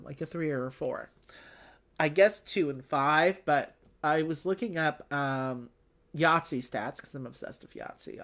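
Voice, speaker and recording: A chatty, informal streamer giving casual play-along commentary on a board game, thinking aloud with playful little interjections. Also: mid-range voice.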